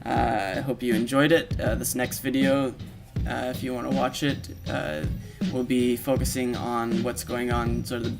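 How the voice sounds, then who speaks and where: A man with a mid-range voice presents a casual video walkthrough. He explains in an informal way, speaking directly to the listener as the player. Loud video game music plays under his voice throughout. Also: mid-range voice, level tone, moderate pace, gravelly, few pauses. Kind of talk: casual walkthrough narration of a software demo. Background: video game music and sound effects.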